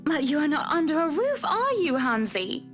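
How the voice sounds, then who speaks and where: A high-voiced, genteel young wife. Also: female voice, sing-song, moderate pace. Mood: playful, warm. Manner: genteel, playful, teasing. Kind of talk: visual novel voice acting. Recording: music playing behind.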